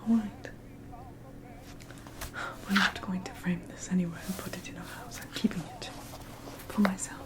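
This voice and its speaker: A woman's voice: fairly low pitch, steady tone, normal speed, long pauses, natural voice. Woman acting a whispering, tender period sweetheart. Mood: coy, tender. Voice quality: whispery, breathy. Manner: gentle, coaxing, playfully bossy. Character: tender, teasing early 20th-century sweetheart sketching her beloved. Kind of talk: ASMR roleplay.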